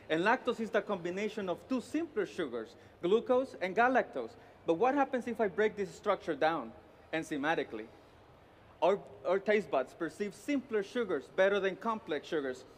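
A man in his thirties from Honduras with a Honduran Spanish accent gives a formal, deliberate, rehearsed competition presentation as a barista. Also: high-pitched. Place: large indoor competition arena with empty stands. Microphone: headset. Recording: echoey hall with clear background noise. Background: venue ambience and noise.

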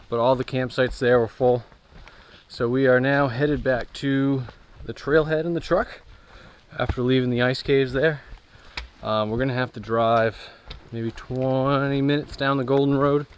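A man in his thirties talks casually to his camera as he narrates an outdoor trip. His pitch is average. The action-camera recording carries light ambient noise from the outdoors. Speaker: vlogger, hike narrator. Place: outdoors in woods, on trails and riverbank. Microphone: action camera at arm's length.

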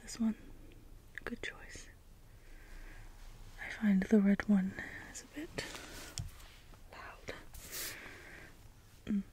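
A woman playing a gentle, naive, literal-minded robot caretaker in an ASMR role play, in a breathy whisper with halting phrases. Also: mid-range voice, fairly even intonation. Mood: matter-of-fact, obliging. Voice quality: breathy whisper, mostly unvoiced. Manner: slow, halting, measured phrases, literal and matter-of-fact. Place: indoors, small room, close in front of backdrop. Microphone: close ASMR microphone.

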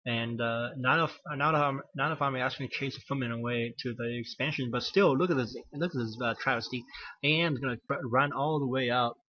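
A man's voice: medium pitch, a little fast, muffled recording. A man giving solo play-by-play commentary on a strategy game, losing his voice and often correcting himself with fillers. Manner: rambling, self-correcting, frequent fillers.

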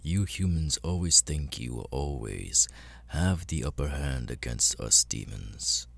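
A man voicing a cold, arrogant immortal demon lord in an ASMR roleplay, speaking with deliberate, condescending menace. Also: lively intonation, a bit bright, exaggerated voice. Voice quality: very deep, gravelly, husky. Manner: slow, deliberate, condescending, with long pauses.

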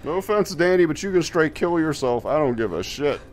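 Dude griping through a game, sweary, pitch jumps around, faint music behind. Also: male voice, lively intonation, a little fast. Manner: blunt, foul-mouthed, griping. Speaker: let's play host. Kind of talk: casual gameplay commentary.

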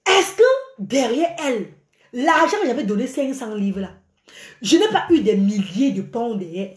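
A woman's voice: a little high, moderate pace, reverberant. A middle-aged woman, ranting animatedly to her followers on a live phone stream from a small room. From Cameroon. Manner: animated and exclaiming, gesturing a lot. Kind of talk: live video rant to followers. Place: small indoor room by a curtain. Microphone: phone at arm's length.

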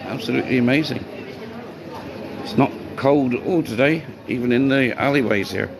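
A man chatting casually as he vlogs his day as a bar worker, rambling and greeting people as he goes, his voice going hoarse. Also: mid-range voice, moderate pace, a crowd in the background. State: voice getting hoarse. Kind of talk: casual walk-and-talk vlog. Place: outdoors on streets by a seafront. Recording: street noise behind the voice.

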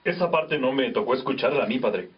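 An actor playing an earnest, devout young novice monk in a radio drama. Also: male voice, mid-range voice, expressive, a little fast, recorded from a distance, dull audio, slight background noise, natural voice.

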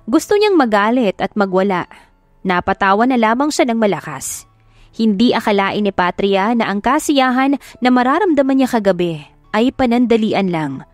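A woman's voice: mid-range voice, darkish tone, dry recording. A woman with a Filipino accent narrating a dramatic audiobook. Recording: music behind the voice. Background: music.

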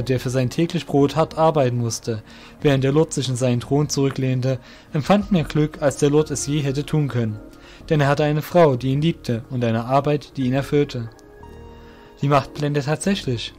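A man narrating a scripted audio drama as storyteller, in a measured, literary reading manner. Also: medium pitch, a little mellow.

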